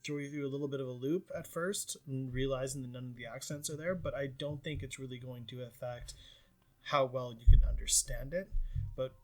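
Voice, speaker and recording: A man from Canada walks through his indie game in a casual devlog voice, mid-pitched and unforced. He rambles and hedges with fillers and pokes gentle fun at his own work. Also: fairly even intonation, fairly quick. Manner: rambling, self-deprecating, frequent fillers.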